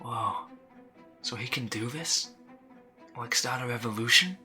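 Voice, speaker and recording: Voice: medium pitch, measured pace, pushed and bright, soft background music. A performer playing a brash, cocky teenage boy with slangy, cheeky talk in an audio drama. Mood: cocky, excited.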